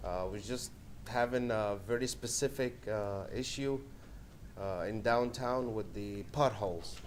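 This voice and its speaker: A man's voice: on the low side, a little slow, a little hiss or hum. A man from Egypt with an Egyptian accent, hesitantly giving a public comment at a city meeting. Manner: hesitant, many fillers. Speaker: member of the public.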